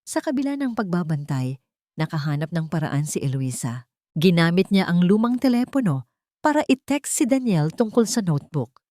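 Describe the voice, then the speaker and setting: A woman narrates a dramatic story. Her voice sits a little low for a woman, and the sound is dry and close, as scripted narration. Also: big pitch swings, moderate pace, mellow, no room sound.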